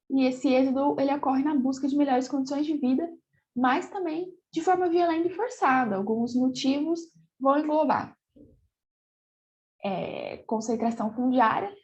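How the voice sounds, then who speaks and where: A woman gives a geography lesson in a somewhat high, mellow voice that is slightly hoarse from a cold. She hesitates often, repeating small words and checking in with her students. The sound is muffled and lo-fi. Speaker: geography teacher. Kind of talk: online class lecture.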